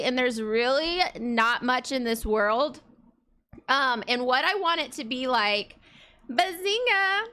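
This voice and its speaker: A congested woman talks to her stream while she plays a game, and her voice sits high and bright. She rambles along casually with plenty of fillers. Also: clear, resonant tone. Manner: chatty, rambling, many fillers. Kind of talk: casual let's play chat.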